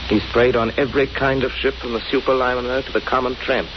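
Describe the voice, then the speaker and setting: An actor playing a composed gentleman detective with measured, authoritative, formal delivery in an old-time radio drama. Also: mid-range voice, level tone, close to an everyday voice. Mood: deductive, determined. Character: composed, deductive gentleman detective, grimly determined. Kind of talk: 1930s radio drama acting. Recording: muffled, noisy old radio recording.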